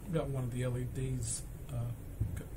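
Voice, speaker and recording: A man giving an informal hands-on repair demonstration, speaking haltingly in pieces and repeating phrases as he goes. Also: mid-range voice. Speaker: electronics repair hobbyist demonstrating his work. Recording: loud steady background noise.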